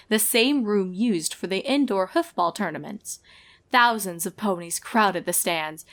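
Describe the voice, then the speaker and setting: Woman narrating a story, medium pitch. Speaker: fan fiction narrator.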